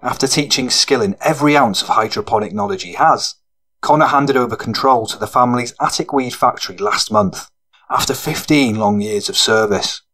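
A man's voice: mid-range voice, normal speed, a bit bright. A man reading scripted narration for a gritty fiction audiobook.

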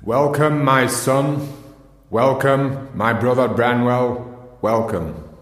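An actor in a radio play as a solemn Masonic master, speaking in ceremonial, archaic phrases in an echoing hall. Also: male voice, mid-range voice, steady tone, slow pace, soft background music, lightly acted. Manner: ceremonial, incantatory, archaic diction. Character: solemn Masonic master leading an initiation ritual.

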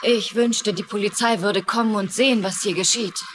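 A woman's voice: mid-range voice, steady tone, moderate pace, natural voice. Woman acting fretful traveler over background noise. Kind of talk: German-dubbed TV mystery acting. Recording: clearly noisy background ambience.

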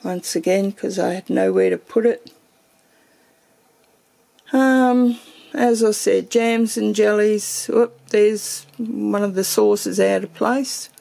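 Woman vlogger, slow and gravelly, mellow tone; casual home pantry tour, filmed handheld. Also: medium pitch, fairly even intonation, low-quality recording. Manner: slow, meandering, thinks aloud with fillers. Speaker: homesteading vlogger.

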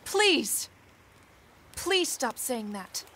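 High female voice, proud castaway bride, formal, guarded, faint background ambience. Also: big pitch swings, extremely slow, bright, forceful tone, slight background noise, heavily acted. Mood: indignant. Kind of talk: Regency-era audio drama acting.

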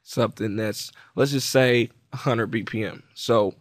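A man with a mid-pitched voice walks through software in a casual tutorial style. He talks informally and explains each step as he goes. The voice-over is close and dry, with very little room sound. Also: steady tone, measured pace, no room sound. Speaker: tutorial presenter.

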